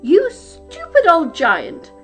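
Giantess, bossy and brisk, pitched up and loud, voiced by a woman narrator; soft music beneath. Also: very animated. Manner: brisk, scolding. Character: dim, bossy giant's wife.

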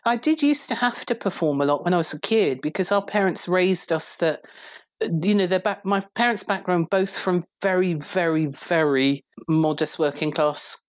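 A woman in her fifties from England with a very deep voice, a PR director talking thoughtfully as a podcast guest. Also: moderate pace. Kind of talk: relaxed conversational interview.

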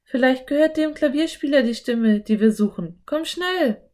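A woman reading a children's story, voicing a lively, eager young girl who speaks in a high, excited voice. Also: normal speed, lightly acted.

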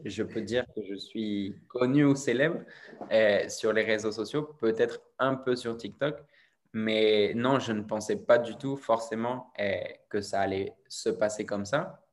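A young French man speaking as an interview guest, rambling and hesitant with many restarts. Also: on the low side. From France. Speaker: interview guest, content creator. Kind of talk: casual conversational interview.

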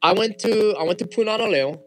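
A man's voice: high-pitched, fast talker, soft background music. Young Hawaiian man, native Hawaiian speaker, guest on a chat show; loose and easygoing. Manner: relaxed, frequent fillers and restarts. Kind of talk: casual interview chat.

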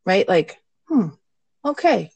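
A woman guest in a casual mindfulness chat, candid and quick to laugh. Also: medium pitch, very animated, vocal fry, darkish tone, slightly rough, no echo. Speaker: podcast guest, mindfulness teacher. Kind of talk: casual conversation.

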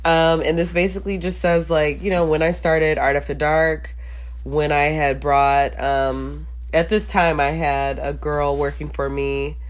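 A woman's voice: low voice, little pitch movement, measured pace. A woman in her thirties, an event curator who is a guest, chatting casually and correcting others in a teasing way. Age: 30s. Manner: teasing, corrects others, calls them babe. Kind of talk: casual interview chat.

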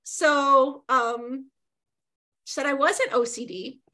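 Middle-aged woman podcast guest, high pitched, chatty and loud. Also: fairly even intonation, takes it slowly. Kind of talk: casual podcast conversation.

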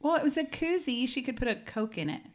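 A woman's voice: sits high, sing-song, fast. A woman co-hosting a podcast in casual chat, explaining things at length with frequent restarts. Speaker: podcast co-host.

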